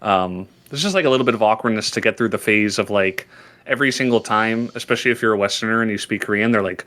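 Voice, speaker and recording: A man, an esports commentator, answering interview questions in a laid-back, hesitant way with many pauses and restarts. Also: fairly low pitch, pushed and bright. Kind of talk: casual interview chat.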